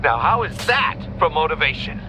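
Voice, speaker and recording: An actor playing a sadistic, gloating villain in a video game, taunting with theatrical mock courtesy. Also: male voice, fairly high pitch, very animated, normal speed, noisy background. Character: sadistic, gloating male riddle-obsessed villain.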